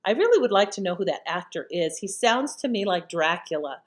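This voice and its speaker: A woman's voice: medium pitch, sing-song, a little fast. A woman hosting an old-time radio channel, chatting casually in a rambling way with trailing thoughts. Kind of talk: casual chatty commentary after a programme.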